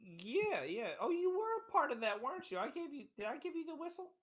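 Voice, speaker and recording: A man voicing a proud, cheerful male innkeeper in an excitable, bright voice. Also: very high-pitched, brisk, voice pushed up, exaggerated voice.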